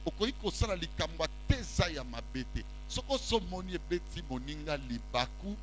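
Lively high-pitched preacher. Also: male voice, normal speed, faint music behind, low-quality recording. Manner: call-and-response preaching, mixing French and Lingala; walks about the stage while preaching. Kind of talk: sermon. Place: large church hall with congregation. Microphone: handheld mic.

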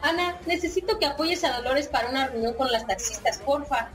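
A bossy but friendly Mexican organizer, played by a woman in a radio soap. Her voice sits high. She talks colloquially and directs everyone. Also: fairly quick, lightly acted. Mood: brisk, organizing. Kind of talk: radio soap opera acting.

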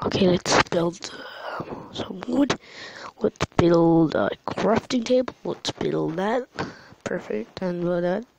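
A young woman with a tired voice commenting on a game she is playing late at night at home, gasping and jumping between alarm and hushed whispers. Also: on the low side, takes it slowly, vocal fry, very noisy. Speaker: gaming channel host. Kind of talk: live gameplay commentary.

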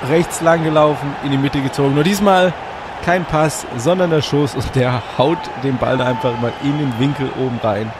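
A man with a slight cold hosting let's play commentary on a football video game from a hot room at home, getting excitable and shouting at goals. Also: medium pitch, normal speed. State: a bit congested with a cold. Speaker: gaming channel host. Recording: audible fan noise.

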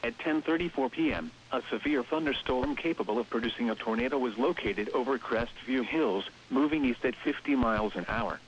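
A synthetic man's voice reading a scripted emergency weather bulletin in a measured, impersonal way over weather radio. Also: medium pitch, a little hiss or hum. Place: automated radio broadcast. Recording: muffled, lo-fi weather radio broadcast.

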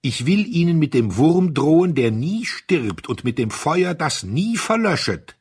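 Man acting a solemn priest with husky voice. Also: on the high side, sing-song, a little slow, voice pushed up, heavily acted. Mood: thunderous warning. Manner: polite, solemn, emphatic. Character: kindly priest turning sternly menacing, courteous and solemn. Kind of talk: audiobook character voice.

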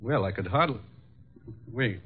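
A polished middle-aged importer in a radio mystery. His mid-pitched voice moves expressively, courteous yet guarded. The sound is that of a dull old broadcast. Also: lively intonation, unhurried, breathy edge. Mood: cooperative, confident. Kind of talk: 1950s radio drama acting.

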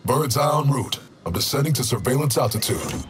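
An actor playing a stern, steady tactical operations leader, giving clipped, authoritative orders over a radio in a video game. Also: male voice, mid-range voice, brisk. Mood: firm, controlled. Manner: clipped, authoritative military delivery. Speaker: mission commander. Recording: noisy military radio with vehicle ambience.

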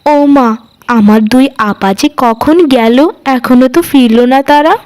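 A woman's voice: high-pitched, a little slow, darkish tone, clear, resonant tone. A woman voicing an innocent, obliging young girl, the trusting youngest sister in a cartoon fairy tale. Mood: frightened, pleading.

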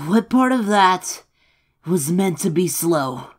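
A woman voicing a bewildered, irritable amnesiac young Pikachu in an animated comic dub, exasperated and sarcastic. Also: on the low side, slow pace, full character voice. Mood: sarcastic exasperation.

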